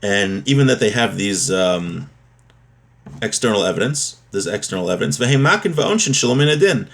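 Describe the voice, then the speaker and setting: A man's voice: lowish voice, expressive, moderate pace, gravelly. A man giving a religious lesson, reading from a text and explaining it, repeating and rephrasing his points as he goes. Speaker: religious teacher giving a daily class.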